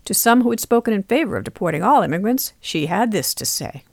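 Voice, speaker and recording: A woman's voice: mid-range voice, animated, quick pace, creaky, dry recording. A woman reporter reading scripted news narration.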